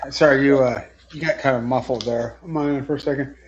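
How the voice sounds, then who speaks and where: A man chatting casually and haltingly as a panelist on a live sports show. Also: medium pitch, steady tone. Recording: muffled lo-fi audio with clear noise.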